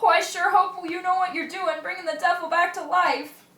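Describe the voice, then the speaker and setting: A woman playing a superstitious, easily frightened sailor sidekick in a radio play, excitable and folksy in manner. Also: very high voice, moderate pace, far from the mic. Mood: uneasy. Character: jumpy, superstitious young-sounding sailor sidekick, easily terrified. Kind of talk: amateur radio drama acting. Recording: echoey room, away from mic.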